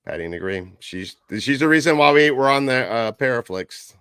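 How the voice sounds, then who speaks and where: A man's voice: medium pitch, lively intonation, normal speed, a bit bright, smooth, dry recording, clean studio sound. A man co-hosting a talk show with casual banter and a teasing, joking manner. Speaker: radio show co-host.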